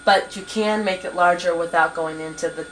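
A young woman patiently teaching word processing at a desk computer, with many pauses and ums, recorded on a 1990s camcorder in a small home office. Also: lowish voice, steady tone, a bit bright, rough recording. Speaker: computer instructor. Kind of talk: hands-on software tutorial. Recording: 1990s camcorder with faint hiss.

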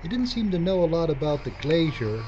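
A man's voice: on the high side, sing-song, fairly quick, a little mellow. A man acting an inquisitive, dry-witted cameraman in an audio drama. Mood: curious, dry. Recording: muffled recording with clear noise.

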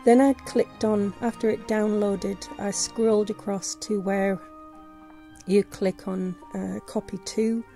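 Husky-throated woman with a gravelly voice. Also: medium pitch, little pitch movement, slow pace, dark tone, soft background music. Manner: hesitant, many fillers and restarts. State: losing her voice, sore throat. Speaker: vlogger. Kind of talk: casual screen-recorded tutorial. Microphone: voice-over on screen recording.

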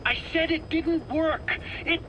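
An actor playing a nervous, evasive male scientist under questioning in a video game, stammering, defensive and pleading in a halting, self-pitying way. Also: extremely high pitch, highs cut off, background noise. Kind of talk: dramatic video game voice acting.

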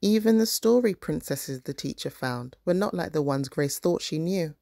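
A woman's voice: deep voice, animated, moderate pace, gravelly, warm tone, dry recording, studio quality. A woman reading a children's picture book aloud as scripted narration.

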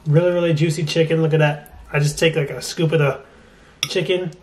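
A man's voice: fairly high pitch, level tone, a little fast. A man in his twenties chatting casually as he reviews food for a vlog, recorded on a handheld camera. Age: 20s. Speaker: food vlogger. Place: restaurant, home kitchen, car, bedroom. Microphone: camera at arm's length. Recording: noisy handheld camera audio.

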